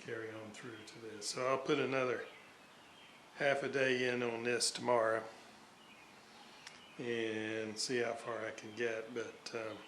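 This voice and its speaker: A man giving an unscripted workbench walkthrough of his repair, halting and thinking aloud as he goes. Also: mid-range voice, flat delivery, fairly bright tone. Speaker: hobbyist radio restorer narrating his repair. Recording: roomy echo, background noise, uneven level.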